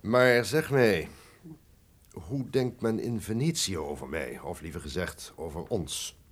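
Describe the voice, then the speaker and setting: Imperious warlord with wildly swinging delivery. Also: male voice, sits low, animated, measured pace. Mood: scheming. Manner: imperious, declamatory. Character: ambitious, boastful male castle lord and mercenary captain. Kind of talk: theatrical Dutch radio drama acting.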